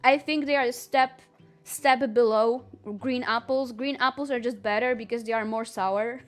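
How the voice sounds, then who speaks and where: A woman's voice: a little high, fairly even intonation, normal speed, soft background music. A young woman streaming live, chatting casually with viewers, laughing often and talking earnestly about her rankings.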